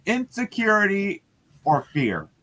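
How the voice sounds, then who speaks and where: A man reading character lines in an amateur dramatized read-aloud, on a quiet, distant-sounding mic. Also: high-pitched, wildly swooping pitch, a little slow. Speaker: co-reader taking character lines.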